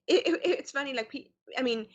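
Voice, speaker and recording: A woman's voice: sits high, brisk, dull audio. A middle-aged woman from South Africa with a mixed American and Canadian accent, chatting as an interview guest with many fillers over a video call. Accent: mix of American and Canadian. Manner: chatty, many fillers, lively quoted voices. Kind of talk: casual interview chat.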